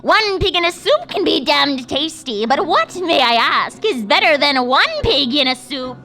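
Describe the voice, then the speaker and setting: A woman's voice: sits high, big pitch swings, unhurried, background noise, exaggerated voice. A woman playing a scheming, crude female witch in a live comedy radio play, with a mocking, theatrical drawl.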